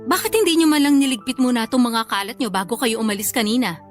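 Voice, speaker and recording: A woman's voice: on the high side, lively intonation, fast talker, faint music behind, natural voice. A woman playing an outspoken young working daughter in a radio drama, with a Filipino accent, polite but defiant. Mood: exasperated, reproachful. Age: young adult.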